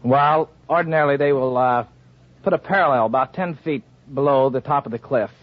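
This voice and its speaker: A man's voice: mid-range voice, brisk. A man from Illinois with an American accent, answering interview questions plainly and literally as a quiz show contestant. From Illinois, United States. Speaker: contestant, stuntman. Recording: muffled vintage radio recording.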